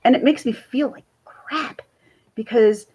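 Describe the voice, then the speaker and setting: A woman with a raspy voice chatting casually to camera on her vlog, rambling and laughing often. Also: a little high, a little slow, warm tone, dull audio. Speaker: YouTube commentator.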